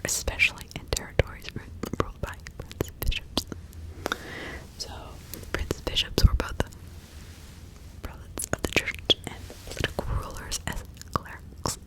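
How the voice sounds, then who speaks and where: A woman speaking in a breathy, hushed whisper as an ASMR host, reading and role-playing in an informal, conversational way. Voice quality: breathy unvoiced whisper with some crackle.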